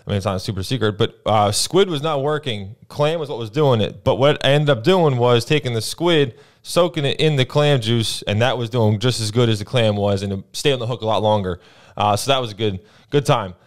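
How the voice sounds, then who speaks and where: A young man with a New Jersey accent co-hosting a fishing report, telling his weekend trips in a relaxed way full of fillers, at a boom mic in a small studio. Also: brisk. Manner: relaxed storytelling with many uh and um. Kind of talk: casual fishing report chat. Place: small studio with brick wall, indoors. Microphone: boom mic on arm, headphones.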